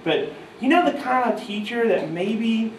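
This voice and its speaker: A man in his thirties from New York state, telling animated, self-mocking stories to a live audience at a guitar clinic. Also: very high-pitched, measured pace, a little hiss or hum. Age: 30s. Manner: animated, self-mocking, mimics voices in quotes. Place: music store clinic room, audience present. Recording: roomy live sound from far off.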